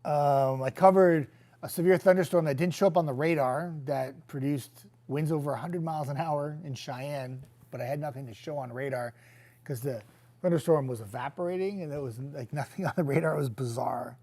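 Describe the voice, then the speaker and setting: A man's voice: a little high, a little fast. Man on the verge of losing his voice. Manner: chatty, easygoing, trailing off into quiet asides. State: losing his voice, getting sick. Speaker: TV meteorologist hosting a viewer chat. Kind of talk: casual live-stream chat reading viewer comments.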